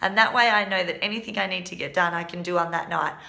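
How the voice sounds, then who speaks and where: A woman in her twenties, a teacher, hoarse today, giving earnest, practical advice in a casual vlog. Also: on the low side, fairly even intonation, fast talker, pushed and bright. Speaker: primary school teacher and vlog host.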